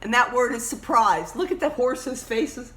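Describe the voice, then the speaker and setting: A woman reading a children's story aloud expressively, emphatically pausing to point out words and pictures. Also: a little high, expressive, a bit bright, faint music behind.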